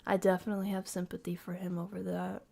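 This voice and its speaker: A woman's voice: on the low side, little pitch movement, fast, gravelly, darkish tone. A woman live streaming her reactions to a video in casual chat, with sarcastic, teasing asides.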